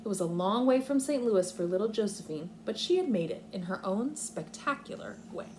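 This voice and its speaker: A woman teacher with a lively, varied pitch leads a read-aloud lesson for young children. She explains her thinking aloud step by step. There is some faint background noise on the recording. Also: fairly quick. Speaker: kindergarten teacher.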